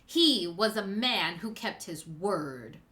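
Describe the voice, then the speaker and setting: A woman's voice: medium pitch, lively intonation, takes it slowly, sharp tone, voice pushed down. A woman voicing a proud, grieving samurai's daughter, declaiming a comic's lines with impassioned, vengeful emphasis. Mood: bitter grief. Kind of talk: amateur dramatic reading of a comic's dialogue.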